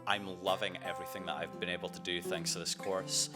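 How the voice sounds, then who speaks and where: A man's voice: low voice, level tone, a little fast. A young man, earnestly narrating his own student documentary and asking interview questions. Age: young adult. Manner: earnest, reflective. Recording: voice-over with guitar music behind.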